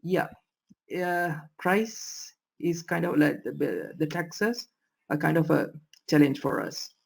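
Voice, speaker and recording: Gravelly, husky-voiced man from Sri Lanka, hesitant panelist on a video call. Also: on the high side, slow pace. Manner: hesitant, many fillers, laughs now and then. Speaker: panelist, trading company executive. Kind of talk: panel discussion answer.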